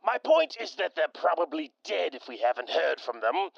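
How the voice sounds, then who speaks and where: A man's voice: a little high, very animated, moderate pace, creaky, no echo, full character voice. A man playing a foul-mouthed, wary raider lieutenant who swears and argues hotly, in dull lo-fi sound. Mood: grumbling.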